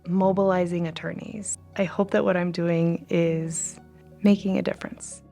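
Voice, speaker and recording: A woman in her thirties talks earnestly about her work in an interview. Her voice sits a little low and carries a gravelly fry. Soft music plays underneath. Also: flat delivery, moderate pace. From Mexican American, grew up speaking Spanish. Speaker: law alumna, nonprofit executive director. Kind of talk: interview for a tribute video.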